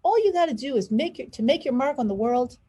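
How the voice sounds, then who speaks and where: Lady doing a joking kindly uncle from a kids storybook, voice sits kinda high. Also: animated, fast talker, mellow, breathy edge. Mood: encouraging. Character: jovial, joking, encouraging uncle in a children's story. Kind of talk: children's storybook read-aloud.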